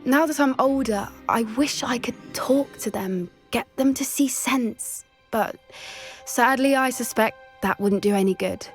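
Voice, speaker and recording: A woman's voice: on the high side, unhurried, faint music behind, close to an everyday voice. A woman playing an earnest, wistful teenage witch who loves animals, with a British accent, in confiding and articulate video game dialogue. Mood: reflective, wistful.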